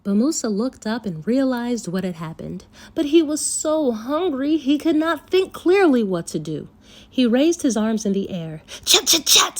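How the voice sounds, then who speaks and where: A woman storyteller reading a folktale aloud for children, with expressive, deliberate phrasing. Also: medium pitch, a little hiss or hum.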